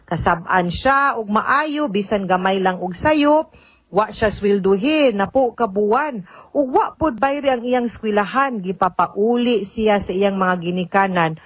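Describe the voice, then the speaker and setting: A woman's voice: mid-range voice, dry recording, lo-fi sound. A woman with a Cebuano Filipino accent hosting a radio advice programme, talking conversationally and mixing in English terms as she explains things. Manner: conversational, mixes in English terms, tag questions. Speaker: also a teacher. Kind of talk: radio legal advice, reading letters and answering.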